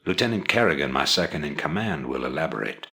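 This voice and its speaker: Very deep, commanding rebel leader. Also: male voice, expressive, creaky, bright, forceful tone, full character voice. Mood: grave, commanding. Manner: measured, formal, authoritative. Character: commanding, calculating older male rebel leader. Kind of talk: video game mission briefing.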